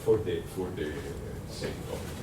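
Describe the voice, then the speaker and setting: A man with an Italian accent giving a workshop lecture, conversational and halting, with many fillers and restarts. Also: deep voice. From Italy. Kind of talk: workshop lecture with audience questions. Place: lecture room. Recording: echoey room, distant mic, noisy.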